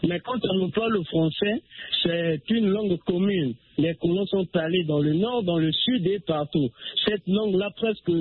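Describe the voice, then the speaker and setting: A man's voice: sits high, normal speed, slightly rough, no room sound. A man from the Republic of the Congo calling in to a radio show, speaking hesitantly with many fillers and restarts. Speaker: radio caller. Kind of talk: phone-in call on a radio show. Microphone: telephone. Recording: tinny phone line, dry.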